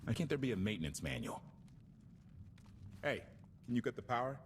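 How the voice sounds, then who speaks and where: A man playing an exasperated, passionate starship pilot in a video game, expressive and sardonic. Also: mid-range voice, brisk, long reverb tail, noisy background, lightly acted. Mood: distracted, grumbling. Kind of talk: video game cutscene acting.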